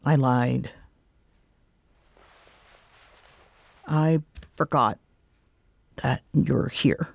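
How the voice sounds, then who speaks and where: A woman's voice: low voice, dry recording. A young woman playing a grumpy, teasing tsundere roommate in an audio roleplay, with a sarcastic, huffy delivery. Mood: awkward, apologetic. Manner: sarcastic, huffy, sighing exasperation with soft spots. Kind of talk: roleplay audio drama, conversational acting.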